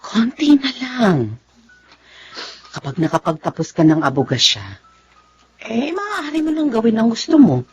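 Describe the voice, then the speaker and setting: A woman playing a submissive, resigned mother with a husky voice and a Filipino accent, pleading in radio melodrama style. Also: a little high, huge pitch swings, a little slow, pauses often, exaggerated voice. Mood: resigned, placating. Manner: pleading, placating. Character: submissive, resigned mother urging obedience to husband. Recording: music bed under the dialogue.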